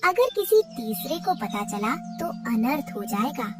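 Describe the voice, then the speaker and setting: A woman voicing an old, divine mother cow in a cartoon, gentle and solemn. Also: high voice, big pitch swings, measured pace, distant mic, over music. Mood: confiding, warning.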